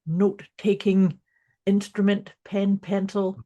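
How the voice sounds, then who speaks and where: Female podcast co-host, mellow tone, warm encouraging chat. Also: mid-range voice, level tone, slow. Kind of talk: casual chat.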